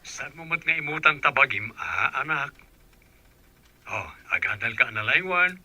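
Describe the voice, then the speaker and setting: A man's voice: mid-range voice, animated, moderate pace, bright, forceful tone. An actor playing a caring father in a Filipino radio drama, with a Filipino accent. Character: caring father speaking to his daughter.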